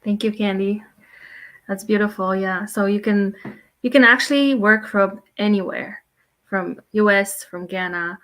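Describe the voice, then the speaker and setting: A woman's voice: mid-range voice, a little slow, fairly bright tone. A woman moderating a group video call. She thanks each speaker and talks with many "uh" fillers.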